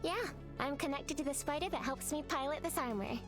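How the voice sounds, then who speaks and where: An unassuming young girl , voiced by a woman in a video game. Her high, youthful voice sounds friendly and matter-of-fact, with music clearly behind. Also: fairly quick, close to an everyday voice. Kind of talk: video game hero voice lines. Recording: game dialogue over loud music.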